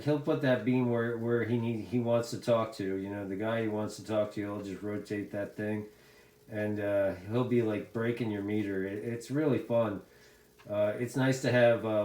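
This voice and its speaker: A man's voice: fairly low pitch, darkish tone. A middle-aged American man chatting casually as a CB radio hobbyist, talkative and given to repeating his phrases. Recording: echoey room sound.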